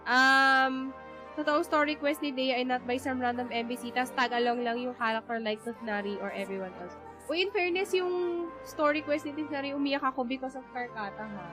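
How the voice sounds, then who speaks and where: A young woman with a Filipino accent chatting live while she plays a game, her voice pitched fairly high. She rambles and circles back with plenty of fillers, easy and unscripted, with faint game music under her. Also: a little fast, rough recording. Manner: chatty, rambling, code-switching, many fillers. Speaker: game streamer. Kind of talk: live gameplay commentary.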